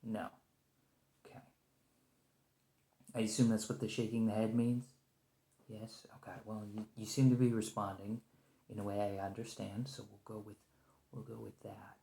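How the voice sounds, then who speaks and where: A man playing a nervous, conciliatory special agent held captive, speaking hesitantly and softly in an ASMR roleplay with an echoing lo-fi sound. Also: on the low side, vocal fry. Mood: wary, tentative. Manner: hesitant, halting, stammering, soft-spoken. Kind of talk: ASMR roleplay, one-sided point-of-view dialogue. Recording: roomy lo-fi echo.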